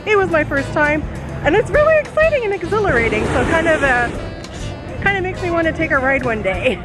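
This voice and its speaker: A woman vlogger chatting to camera in an upbeat, excited, casual way. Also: very high-pitched, fairly quick, rough recording. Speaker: vlogger, channel host. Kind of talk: casual event vlog to camera. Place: outdoors at a busy festival. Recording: loud crowd and music behind.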